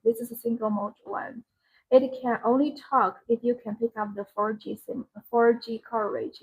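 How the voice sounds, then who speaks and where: A woman's voice: fairly high pitch, slightly rough, low-quality recording. A woman who speaks English as a second language, presenting products on a live sales stream in simple, short, repeated phrases. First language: not English.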